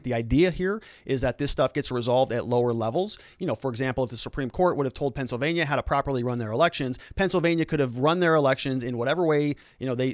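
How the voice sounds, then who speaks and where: A man's voice: medium pitch, no echo, studio quality. A man with an American accent giving conversational political commentary as a host, explaining points and posing rhetorical questions.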